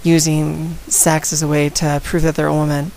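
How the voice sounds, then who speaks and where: A woman with a slight head cold and a raspy voice, talking casually and outspokenly straight to her viewers in a vlog. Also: deep voice, flat delivery, moderate pace, a little mellow, slight background noise, low-quality recording. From San Antonio, Texas. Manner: outspoken, direct, addresses viewers. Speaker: vlogger. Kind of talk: casual talk to camera.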